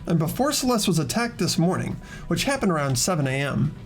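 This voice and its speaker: A woman playing an earnest, determined teenage investigator in an amateur game dub, expressive in dialogue and inner narration. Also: fairly low pitch, brisk, soft background music. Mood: thoughtful, determined. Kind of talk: scripted amateur visual novel voice acting and inner narration.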